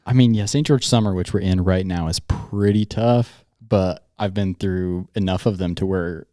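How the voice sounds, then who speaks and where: Young utah guy hosting a chill chat, slangy, mellow voice, dry close sound. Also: deep voice, moderate pace, dry recording. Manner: laid-back, slangy, laughs easily. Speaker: podcast host. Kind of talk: casual chat.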